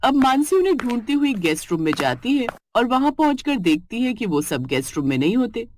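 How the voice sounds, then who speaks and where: A woman's voice: a little high, big pitch swings, a little fast, darkish tone, a little hiss or hum. Woman narrating a cartoon story.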